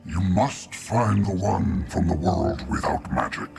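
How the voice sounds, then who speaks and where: An actor playing an ancient, muddled grandfather tree in a video game, solemn and halting, speaking like a cryptic prophet. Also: expressive, over music, heavily acted. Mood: solemn, weary. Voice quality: deep, creaky. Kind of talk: video game character acting.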